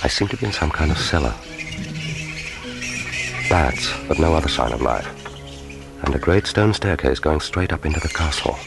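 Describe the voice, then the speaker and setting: A cool, wry secret agent thinks aloud in a radio play. His voice is extremely deep and creaky, with a deadpan delivery over clearly audible film music. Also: moderate pace, long pauses, full character voice. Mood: wry, tense. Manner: deadpan, wry, inner monologue. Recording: film score music behind voice.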